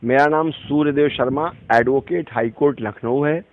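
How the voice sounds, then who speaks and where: A man's voice: mid-range voice, moderate pace, phone-quality sound. A man giving a formal, emphatic statement as an advocate in an interview. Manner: formal, emphatic legal phrasing. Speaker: advocate giving a victim's account. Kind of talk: phone interview statement, recounting a complaint.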